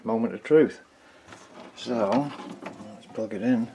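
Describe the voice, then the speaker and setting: Male hobbyist repairer for a vlog: lowish pitch, dark mellow tone, informal, tentative think-aloud commentary. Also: slow, slight background noise, poor audio. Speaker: hobbyist repairer presenting own video. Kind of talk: casual hands-on repair commentary.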